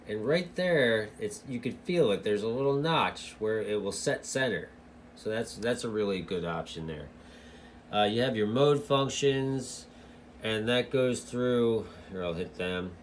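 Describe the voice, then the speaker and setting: A man giving a casual, hands-on product review in a halting way, as a hobbyist. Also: medium pitch, slight background noise. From United States.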